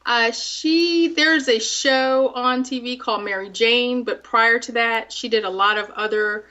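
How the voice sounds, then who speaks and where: A woman's voice: on the high side, a little slow, sharp tone. A woman from South Florida, a native French speaker, giving conversational answers as an interview guest, in lo-fi sound. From South Florida, multi-ethnic Caribbean family. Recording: dull, lo-fi sound.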